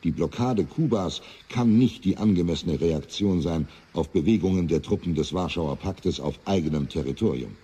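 Dark, husky Soviet leader, echoing hall. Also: male voice, sits low, moderate pace, few pauses. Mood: solemn appeal. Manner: solemn, declamatory. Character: solemn, imploring elder Soviet leader who lived through war. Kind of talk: rhetorical political appeal in a radio play. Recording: echoing long hall reverb.

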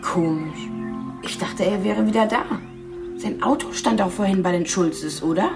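An actress playing a cheeky thirteen-year-old daughter in a radio crime drama, casual, blunt and nagging. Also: female voice, medium pitch, moderate pace, music in the background, close to an everyday voice. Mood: probing.

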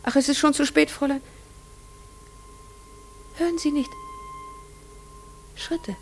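An actress playing a troubled middle-aged farmer's wife in a German radio play, guarded, halting and fearful. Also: female voice, high-pitched, steady tone. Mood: alarmed.

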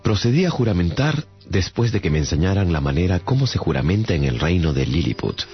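Deep, animated traveler-storyteller. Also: male voice, fairly quick, breathy edge, heavily acted. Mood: storytelling. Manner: courteous, earnest, expressive storyteller. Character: earnest, polite male traveler, a giant among tiny people. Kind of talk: storytelling narration in radio drama. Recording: dull sound with music behind.

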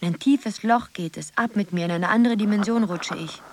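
A dreamy young woman muses her way through a surreal radio play, performed by an actress. Her mid-pitched voice is wistful and halting, with faint noise and ambience behind it. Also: lightly acted. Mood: dreamy, musing. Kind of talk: poetic radio drama monologue.

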